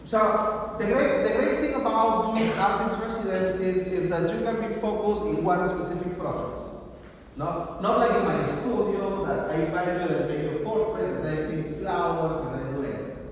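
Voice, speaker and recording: High-voiced Peruvian man in an echoey hall. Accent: Peruvian Spanish. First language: Spanish. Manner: halting, with tag questions like "no?". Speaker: visual artist giving a gallery talk. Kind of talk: informal artist talk to an audience. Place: art museum gallery. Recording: echoey hall, distant mic, faint noise.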